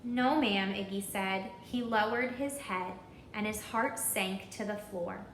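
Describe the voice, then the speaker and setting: A woman reading a children's story aloud in an expressive, deliberate, rhythmic way, pausing to put questions to listeners. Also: mid-range voice, echoey room, a little hiss or hum, low-quality recording. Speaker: storybook reader.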